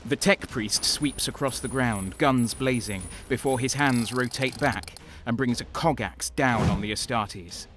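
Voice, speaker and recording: A man's voice: mid-range voice, measured pace, few pauses, noisy background, close to an everyday voice. A man playing a renegade guardsman who narrates his own battles in a first-person audio drama. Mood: grim, intense. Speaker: narrator and main character. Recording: music behind the voice. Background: dramatic music.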